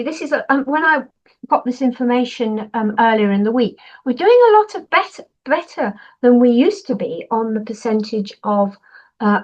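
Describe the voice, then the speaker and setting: An older woman, a professor presenting at a webinar in an explanatory way, stressing points through contrast. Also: mid-range voice. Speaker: university professor, webinar speaker. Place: online webinar. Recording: dull, lo-fi webinar audio.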